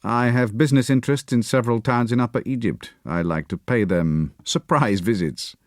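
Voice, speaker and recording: A man voicing a calm male spy in his thirties who answers in terse, evasive lines. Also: mid-range voice, moderate pace, dry recording, clean studio sound, lightly acted. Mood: guarded.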